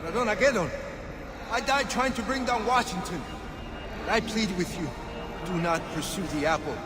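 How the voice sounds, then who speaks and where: An actor playing the spirit of a fallen warrior in a video game, earnest and urgently pleading. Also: male voice, sits high, normal speed, lightly acted. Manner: earnest, imploring. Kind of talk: dramatic video game acting. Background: music.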